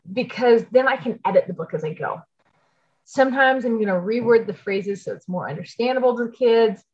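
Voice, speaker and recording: A woman's voice: mid-range voice, dull audio. A middle-aged American woman giving casual podcast advice in a chatty, explanatory way, often checking in with the listener. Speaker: podcast host giving homeschool tips.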